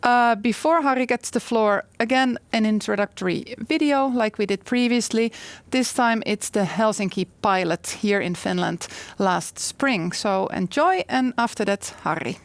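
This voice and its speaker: A woman's voice: fairly high pitch, measured pace, no echo. A woman hosting a conference from a studio, introducing speakers and videos. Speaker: conference host.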